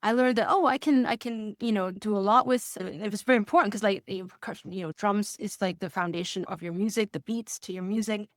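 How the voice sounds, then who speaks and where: A woman's voice: mid-range voice, fast, hardly any pauses, dry recording, studio quality. A woman answering interview questions conversationally, with an enthusiastic manner and frequent chuckles as she explains things. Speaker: music course student giving a testimonial.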